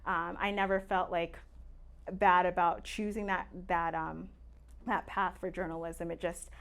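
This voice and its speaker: A woman's voice: lowish voice, flat delivery, unhurried, vocal fry, a bit bright, smooth. A woman in her late twenties from Tanzania, a native Swahili speaker, chatting casually as a podcast guest with plenty of fillers and easy laughs. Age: late 20s. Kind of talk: casual interview chat.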